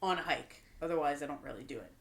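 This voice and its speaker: A woman's voice: sits low, quick pace, fairly bright tone. A woman co-hosting a casual podcast, telling stories with lots of fillers. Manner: rambling storyteller, lots of fillers. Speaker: podcast co-host. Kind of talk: casual chat.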